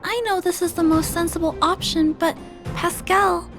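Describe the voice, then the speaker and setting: A woman voicing a gentle, earnest young girl in a video game, polite and careful in her speech. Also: extremely high pitch, steady tone, moderate pace. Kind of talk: scripted game cutscene dialogue. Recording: music behind.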